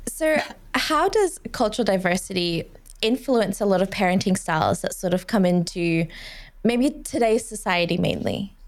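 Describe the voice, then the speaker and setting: A woman's voice: medium pitch, expressive, normal speed. A woman hosting a podcast in a casual conversational way, talking in long, run-on sentences with fillers such as "like" and "sort of". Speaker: podcast host.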